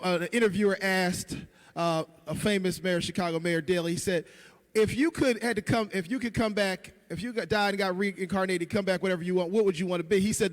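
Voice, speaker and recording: A man from Philadelphia hosting a political breakfast, telling a folksy anecdote before introducing the next speaker. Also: sits high. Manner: folksy, with fillers. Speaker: event host introducing speakers. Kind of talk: political speech, storytelling. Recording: reverberant hall on a PA.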